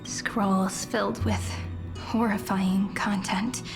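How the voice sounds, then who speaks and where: A woman's voice: medium pitch, level tone, takes it slowly, creaky. A woman playing a gracious, polite chronicler in an anime game. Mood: gracious, earnest. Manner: poised, polite. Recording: loud background music.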